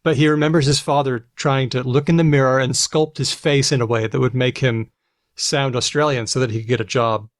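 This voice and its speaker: A man's voice: medium pitch, dry recording, clean studio sound. A man with an American accent hosting a podcast, chatting casually and adding self-deprecating asides. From United States. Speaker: podcast host. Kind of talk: casual conversational chat.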